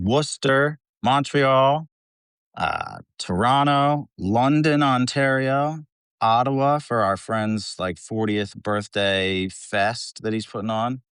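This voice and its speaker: Male interview guest, low clear voice, laid-back and sweary casual talk. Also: fairly bright tone, no room sound, studio quality. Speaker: interview guest, band vocalist. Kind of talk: casual chat.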